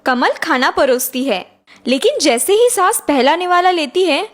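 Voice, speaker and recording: A woman's voice: high-pitched, expressive, normal speed, fairly bright tone. A woman reading scripted narration for a children's moral story.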